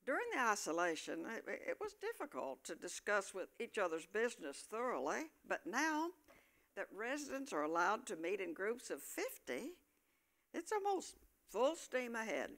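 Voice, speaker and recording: Lively older woman narrating a play. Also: mid-range voice, normal speed. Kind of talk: scripted stage narration.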